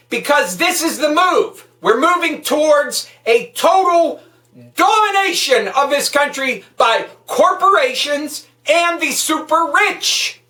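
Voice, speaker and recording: In a roomy, echoing space a little away from the microphone, a woman rants about politics. Her voice is sharp and forceful, and she speaks slowly for emphasis. She is hectoring and swears freely. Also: high voice. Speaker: political vlogger. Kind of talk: angry political rant. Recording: echoey room, distant microphone.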